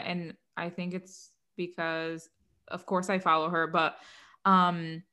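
Chatty laughing woman, lowish voice. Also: bright, forceful tone, clear, resonant tone. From Puerto Rico. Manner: chatty, laughs often, says "like" a lot. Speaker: podcast co-host. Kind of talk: casual chat.

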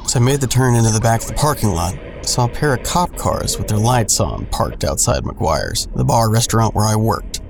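A man's voice: fairly low pitch, very animated, moderate pace, gravelly, hardly any pauses, noisy background. An actor playing a man who narrates his own troubled story in a first-person audio drama. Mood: descriptive. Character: adult man recounting his troubled past. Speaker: narrator and main character.

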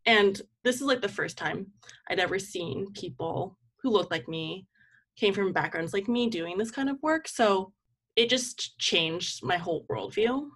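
A woman's voice: medium pitch, lively intonation, measured pace, a bit bright. A young woman speaking casually and reflectively as an interview guest, often dropping "like" into her sentences. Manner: informal, reflective, peppers speech with "like". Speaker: guest, community organizer and campaign manager.

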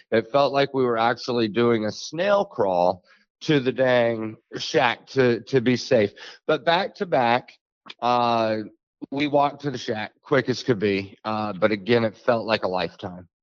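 A man, telling a frightening encounter as a podcast guest, halting with many fillers. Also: dry recording. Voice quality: deep bassy voice. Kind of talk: storytelling an encounter. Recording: dull lo-fi dry sound.

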